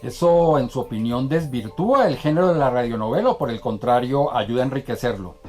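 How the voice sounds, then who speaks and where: A woman's voice: extremely low pitch, fairly quick, a little mellow, soft background music. A Colombian woman hosting a TV programme, asking questions in a formal, courteous, polished broadcast style. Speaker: TV host, interviewer.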